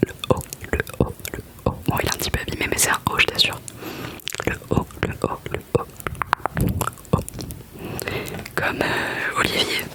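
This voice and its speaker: A young woman speaks almost entirely in a soft, unvoiced whisper. She chats playfully, teases herself, and murmurs single letters again and again in an ASMR guessing game. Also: moderate pace, long pauses. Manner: playful, chatty, self-mocking. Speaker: ASMR creator.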